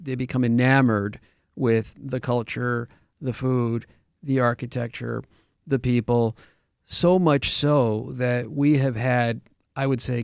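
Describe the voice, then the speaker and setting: A man from Argentina, a real estate broker, answering questions as a radio guest in a measured, explanatory way with frequent ums and uhs. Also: medium pitch, gravelly, no room sound, studio quality.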